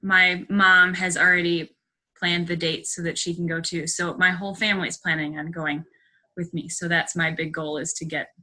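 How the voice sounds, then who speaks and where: A woman's voice: fairly low pitch, little pitch movement, normal speed, bright, forceful tone. A woman on a team video call, introducing herself cheerfully.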